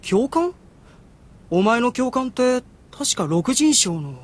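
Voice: mid-range voice, sing-song, slow pace. An actor voicing a candid teenage boy hero in an anime audio drama, casual and blunt. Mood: casual, curious. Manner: casual, blunt boyish speech. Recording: music behind the voice.

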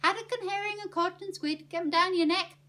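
A woman voicing a gruff, blustering, grumbling uncle in a storybook reading. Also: extremely high pitch, fairly even intonation, a little fast, pushed and bright, recorded from a distance, pitched above the performer's own voice. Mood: boisterous hawking. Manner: grunting, blustering, exclamatory. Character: gruff, obsessive working man, a grumbling uncle.

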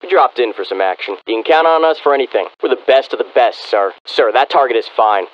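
An actor playing a confident American special forces soldier in a military video game, with terse, self-assured delivery. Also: male voice, medium pitch, animated, a little fast, close to an everyday voice. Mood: cool, confident. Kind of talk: military game unit acknowledgements. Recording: slightly muffled radio-style game audio.

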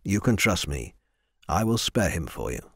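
A man voicing a loyal young male warrior who speaks quietly and solemnly. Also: low voice, very animated, normal speed, slightly rough, pitched below the performer's own voice, full character voice.

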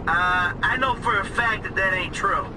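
An actor playing a sarcastic, jaded bank robber in a video game, wisecracking in a sardonic way. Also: male voice, sits high, normal speed, natural voice. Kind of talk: video game dialogue acting. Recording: boat engine and water noise behind.

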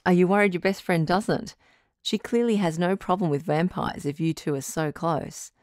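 A woman playing a calm, reassuring doctor who hosts a radio relationship advice show, speaking in a measured, counselling way. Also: on the low side, dry recording, clean studio sound, lightly acted. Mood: encouraging. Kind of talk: audio drama radio call-in acting.

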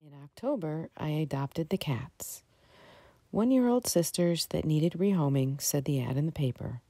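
A middle-aged woman giving a gentle, reflective scripted voice-over in a storytelling style. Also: deep voice, animated, normal speed, faint music behind. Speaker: vlog narrator.

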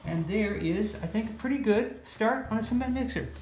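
A man's voice: sits high, normal speed, a little mellow. A middle-aged man with an American accent gives a casual, playful, folksy step-by-step drawing lesson for children. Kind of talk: casual step-by-step art demonstration. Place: indoor room, at a drawing board. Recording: roomy echo with music underneath.

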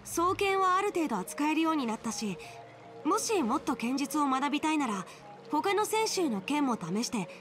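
A woman's voice: sits high, very animated, moderate pace, music in the background. A woman voicing a cocky teenage boy swordsman in a game, teasing and challenging.

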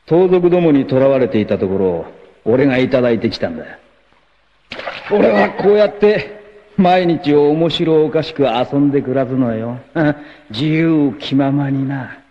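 An actor playing a carefree, roguish young martial artist and womanizer in a dramatic anime, with a cocky drawl and rough masculine speech. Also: medium pitch, expressive, measured pace, a little hiss or hum. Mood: boastful. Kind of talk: dramatic 1980s anime voice acting. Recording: dull lo-fi old TV audio.

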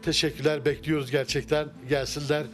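Hesitant middle-aged man, slightly high voice. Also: flat delivery, a little fast, faint music behind. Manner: hesitant, many fillers and restarts. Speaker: restaurant owner. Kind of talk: casual interview. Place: wood-paneled restaurant interior. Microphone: handheld mic held by interviewer.

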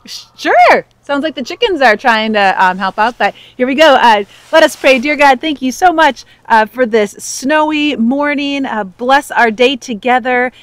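A woman leading a short, gentle spoken prayer outdoors on a snowy patio. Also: sits high, expressive, unhurried, hardly any pauses, dry recording.

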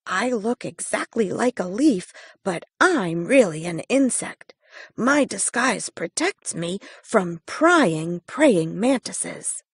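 A woman's voice: mid-range voice, lively intonation, slow, slightly rough, no room sound, full character voice. A woman voicing a tiny, proud katydid insect in a storybook reading, matter-of-fact.

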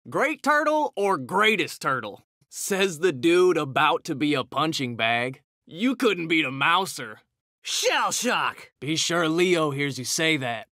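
A man's voice: fairly high pitch, bright, forceful tone, no room sound, clean studio sound, heavily acted. A man voicing a cocky, hot-tempered young mutant ninja turtle, trading brash, slangy taunts in a fighting video game. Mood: brash, combative. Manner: brash, slangy trash talk.

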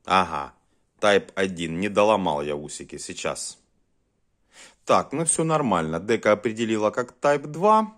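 A man giving casual hands-on commentary as a video host, talking haltingly in short muttered phrases. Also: lowish voice, expressive, fairly bright tone. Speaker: host of a cassette deck test vlog.

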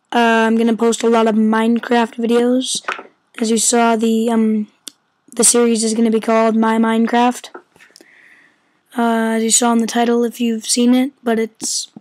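A hoarse-voiced woman who is losing her voice from illness rambles in casual let's-play commentary at home, full of fillers and stumbles. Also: a little high, darkish tone. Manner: rambling, filler-heavy, stumbles over words. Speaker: gameplay commentator. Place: a room at home.

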